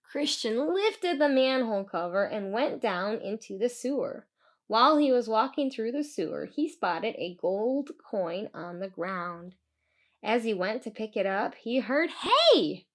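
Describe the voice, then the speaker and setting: A woman reading a children's book aloud in an expressive storytelling style with deliberate, dramatic emphasis. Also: on the high side.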